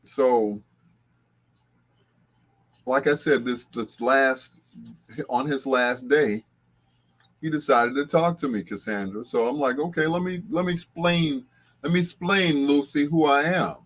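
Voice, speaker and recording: A man's voice: medium pitch, unhurried, pauses often, a bit bright. A man hosting a casual livestream, talking to viewers in a rambling, conversational way. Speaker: livestream host.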